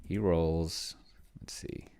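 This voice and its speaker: A man casually thinking aloud as he plays a solo tabletop game, halting and muttering to himself as he types. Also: low voice, steady tone, warm tone. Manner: self-described pedantic. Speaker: solo tabletop player and video host.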